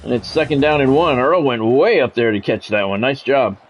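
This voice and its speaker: A man's voice: medium pitch, moderate pace, lo-fi sound, soft background music. A man doing play-by-play commentary on a football video game in a conversational way, with wry asides. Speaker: play-by-play announcer.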